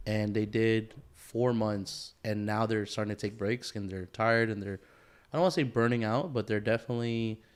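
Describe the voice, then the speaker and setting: A young man co-hosting a podcast in relaxed conversation. He rambles, restarts his thoughts and leans on filler words, with an easy profane streak. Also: on the low side, moderate pace, clear, resonant tone. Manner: rambling, heavy filler words, frequent swearing. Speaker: podcast co-host. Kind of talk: casual chat.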